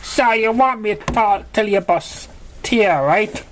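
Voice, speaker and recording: Man voicing a guarded young filly, raised pitch. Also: measured pace, a little hiss or hum. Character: gruff young filly pony, guarded and brooding.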